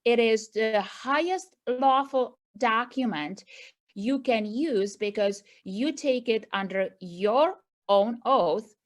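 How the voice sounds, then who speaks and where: A woman's voice: fairly high pitch, slow. A middle-aged woman from Czechoslovakia with a Czech accent, speaking earnestly to a group as the founder of an advocacy movement and often adding "right?" to her points. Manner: earnest, repeats points with tag questions. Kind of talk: speech to a group meeting.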